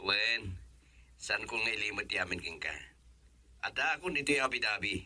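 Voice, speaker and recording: An actor with an Ilocano accent playing a playful young man working abroad, loud and joking in a radio drama. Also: fairly low pitch, moderate pace, no room sound. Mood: affectionate.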